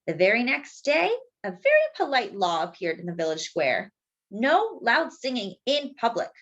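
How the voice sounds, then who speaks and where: Animated, high-voiced woman reading to children. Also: muffled recording. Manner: animated, drawn-out emphasis. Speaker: children's storyteller. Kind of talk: expressive picture-book read-aloud with asides to young listeners.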